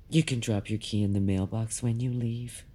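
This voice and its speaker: An actress playing a stern, wry, unyielding female mentor in an audio drama, with a cutting, measured manner. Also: a bass voice, steady tone, warm tone, slightly rough, full character voice. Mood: stern, disappointed. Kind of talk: audio fiction drama acting.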